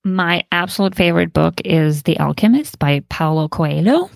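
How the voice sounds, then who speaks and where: A woman who grew up in Bosnia and Montenegro chats as a podcast guest in a slightly low voice. She laughs often as she talks, and her voice sounds dry and close. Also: expressive, normal speed, creaky, no room sound. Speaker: podcast guest, interior designer. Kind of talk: casual conversation.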